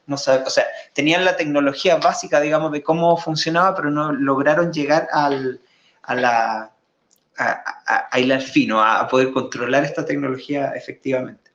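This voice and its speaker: A man presenting slides in a live online talk. He explains at length, often pausing and repeating words. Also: a little high, fairly even intonation, a bit bright, roomy sound, muffled recording. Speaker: guest presenter.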